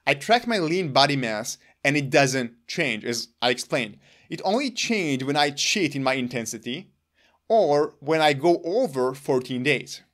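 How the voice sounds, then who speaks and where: A man's voice: on the high side, a bit bright. A man in his thirties whose first language is Hebrew, earnestly explaining his own exercise routine to camera, gesturing as he talks. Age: 30s. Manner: earnest, gestures with his hands while talking. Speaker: wellness channel host. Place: indoor room in front of gray curtains.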